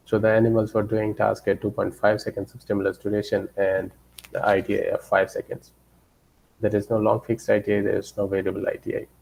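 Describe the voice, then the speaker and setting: Husky, low-voiced man lecturing haltingly. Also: warm tone, rough recording. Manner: halting, repeats and rephrases phrases. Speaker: researcher giving a guest lecture. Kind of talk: scientific presentation, explaining study results.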